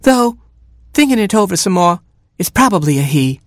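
A man's voice: high voice, very animated, normal speed, dry recording, studio quality, pitched above the performer's own voice. Man putting on a fussy, squawking mother hen. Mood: flabbergasted. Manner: clucking, squawking, scolding. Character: fussy, indignant mother hen, adult female, quick-tempered. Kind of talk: storybook character voice.

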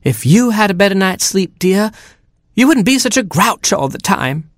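Reader voicing a bossy mother hen, scolding, lively and high, dry sound. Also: normal speed, dry recording, close to an everyday voice. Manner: clucking, scolding and indignant. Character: bossy fussy mother hen, quick-tempered.

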